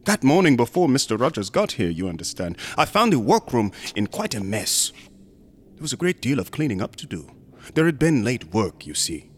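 A man's voice: mid-range voice, very animated, moderate pace, natural voice. A man plays a sinister, smug museum assistant in a horror radio play. He is oily and courteous, with a theatrical, widely swinging delivery that sinks into insinuating whispers. A faint noise sits behind the voice. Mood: smug, secretive. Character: sinister, smug, unctuous museum assistant guarding macabre exhibits. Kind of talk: horror radio drama acting.

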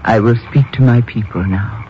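A man's voice: fairly low pitch, slight background noise, close to an everyday voice. Man acting solemn jungle sage, muffled old radio. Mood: solemn, knowing. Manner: measured, formal, cryptic. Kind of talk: 1950s radio drama acting.

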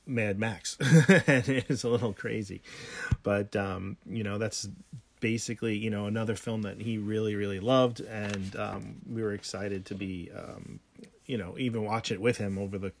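A middle-aged man with a low, gravelly voice talks loosely on a casual podcast. He rambles, stops to restart his sentences and fills the gaps with ums. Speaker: podcast co-host. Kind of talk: casual home podcast chat.

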